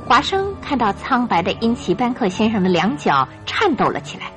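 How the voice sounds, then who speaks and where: A woman's voice: fairly high pitch, very animated, moderate pace. A woman narrating a scripted radio drama as a storyteller. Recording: radio drama with music underneath.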